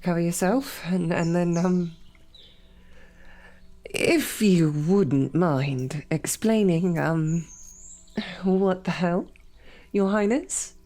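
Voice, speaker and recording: A woman's voice: fairly low pitch, very slow, pauses often, warm tone. A woman voicing a captive young woman knight who talks in a dry, formal, wryly understated way, in an audio roleplay drama. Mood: flustered. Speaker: voice actor.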